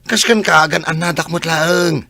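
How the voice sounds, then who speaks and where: Man as cheeky younger brother, high creaky voice, very sharp, argumentative, Ilocano accent, radio drama. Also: a little slow, no echo, full character voice. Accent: Filipino, Ilocano.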